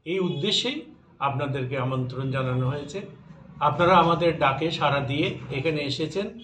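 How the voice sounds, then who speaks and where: A man in his fifties from Tripura, India, making a measured, formal statement as a sports association official at a press conference. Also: a little high, a bit bright, slight background noise. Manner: measured, formal, occasional halting restarts. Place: indoor press room with banner backdrop. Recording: echoey room, voice a little distant.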